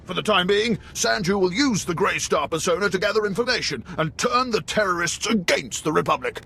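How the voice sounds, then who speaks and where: A man's voice: sits high, animated, brisk, vocal fry, sharp tone, breathy edge, full character voice. A man playing a wry, effusive spy station chief in a video game, with a theatrical, gossipy manner. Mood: pleased.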